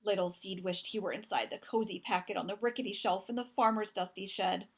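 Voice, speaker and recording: A woman reading a children's picture book aloud as storyteller, with expressive, deliberate narration and dramatic emphasis. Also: medium pitch, husky.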